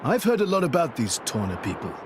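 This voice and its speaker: A man's voice: medium pitch, wildly swooping pitch, fast, noisy background. An actor playing a wry, theatrical, swaggering young male adventurer in a video game cutscene. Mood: breezy.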